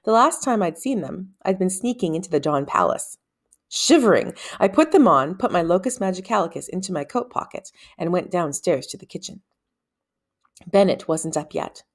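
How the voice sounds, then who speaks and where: A woman reads fiction aloud in a slightly low-pitched voice. She phrases deliberately, giving the narration the expressive shape of storybook reading.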